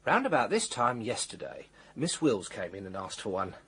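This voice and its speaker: An actor playing a nervous, eager-to-please British chemist in a radio drama, with a flustered, hesitant manner. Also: male voice, fairly low pitch, wildly swooping pitch, normal speed, fairly bright tone, husky, full character voice. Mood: obliging. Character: fussy, eager small-town male chemist, deferential and nervous. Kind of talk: detective radio drama acting.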